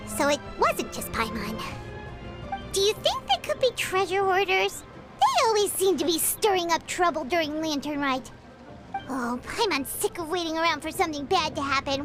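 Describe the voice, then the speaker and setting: A woman voicing a tiny, bubbly and brash female fairy companion who talks about herself in the third person, in a video game. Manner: squeaky, excitable, speaks of herself in third person.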